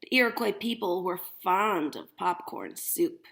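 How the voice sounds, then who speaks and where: A woman's voice: fairly low pitch, animated, measured pace, gravelly, fairly bright tone. A woman teacher reading a picture book aloud to young children, expressively and with simple explanations. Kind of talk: animated picture-book read-aloud with demonstration asides.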